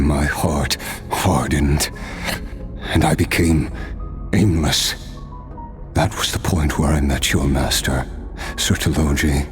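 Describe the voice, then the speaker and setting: Centuries-old weary knight, video game. Bottom-register voice, unhurried, formal storytelling delivery, faint music underneath. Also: male voice, a bass voice, heavily acted. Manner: measured, deliberate, formal. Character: ailing noble-born male swordsman, centuries old, weary and courteous. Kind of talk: dramatic video game voice acting.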